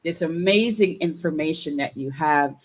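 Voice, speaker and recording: A woman with a slightly low voice hosts a live online talk show. She reads a long introduction in an upbeat, even way. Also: normal speed. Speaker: show host. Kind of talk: scripted guest introduction on a live broadcast.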